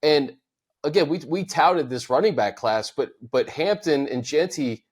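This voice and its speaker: A man hosting a casual sports podcast chat, talking in a quick, conversational way and building on his co-host's points. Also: fairly high pitch, lively intonation, breathy edge. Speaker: podcast host.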